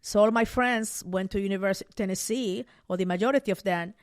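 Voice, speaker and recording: A woman's voice: medium pitch, fast talker, no room sound. Middle-aged Peruvian woman, dry sound. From Peru. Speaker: guest author. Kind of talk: podcast interview.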